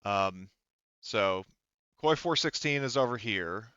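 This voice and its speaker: A man explaining things as a tabletop game master, halting and thinking aloud in a casual way, on slightly dull audio. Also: on the low side, animated, pushed and bright, clean tone, no room sound.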